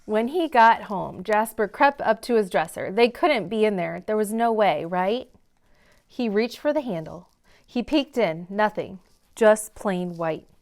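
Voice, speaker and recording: A woman reads a picture book aloud for children at a library story time. Her pitch is medium, and she leans on key words for dramatic effect. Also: expressive, a little slow, a little mellow. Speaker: read-aloud host in a library.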